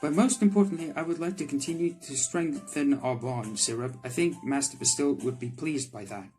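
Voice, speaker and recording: A man acts out a devoted candy girl from a visual novel. The voice is lifted high, polite and earnest. The phrasing is stiff and literal, with hafif music underneath. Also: normal speed, a little mellow, lightly acted. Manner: formal, stilted phrasing without contractions.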